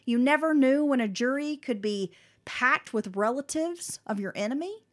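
A woman with a slightly high voice reads a prepared history story for a podcast. She breaks off into animated, slangy asides between the scripted parts. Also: moderate pace. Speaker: podcast co-host telling the story.

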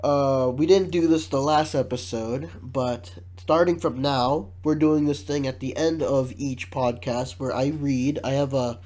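A man's voice: medium pitch, fairly even intonation, measured pace, hardly any pauses, darkish tone. Male podcast host, stuffy and nasal from a cold, jokey casual delivery. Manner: jokey, laughs often, reads questions aloud. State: congested, sick.

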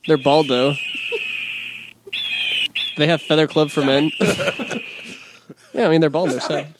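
A man's voice: fairly high pitch, slow pace, background noise. A man with a Midwestern American accent co-hosting a casual comedy podcast, goofy and laughing often. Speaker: podcast co-host.

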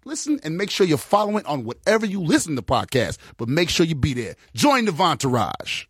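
A podcast host giving a loose, rambling hype promo for his show in a fairly high voice. The sound is dry and close. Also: very animated, brisk, husky, no room sound.

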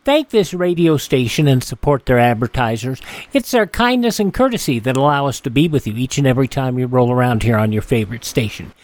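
Male radio host, animated friendly patter, dry studio read. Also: mid-range voice, fairly quick, dry recording. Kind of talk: radio show outro.

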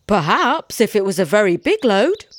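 Lady doing a sly hungry woodcutter guy in a folk tale, chatty n wheedling, bouncy pitch. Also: sing-song, takes it slowly. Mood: crafty. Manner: confiding, wheedling. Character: poor crafty woodman, male, sly and food-loving. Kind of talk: storybook character voice.

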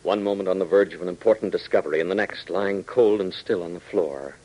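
A man's voice: sits low, quick pace, darkish tone, rough recording. An American man narrating emphatically and dramatically as an announcer in 1940s radio style. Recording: old 1940s radio broadcast recording.

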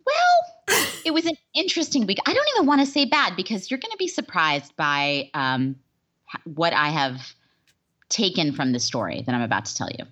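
A woman's voice: on the low side, very animated, moderate pace, a bit bright, lo-fi sound. A woman co-hosting a show in casual, candid, jokey chat. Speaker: podcast co-host.

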